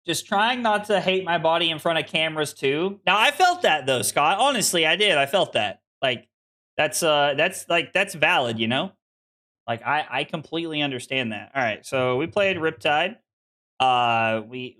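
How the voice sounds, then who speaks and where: A bright, fairly high-voiced young man streams a card game and chats with his viewers. He is in his late twenties and rambles loosely in a self-deprecating way, often restarting his sentences. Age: 20s. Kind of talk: casual live-stream chat with viewers.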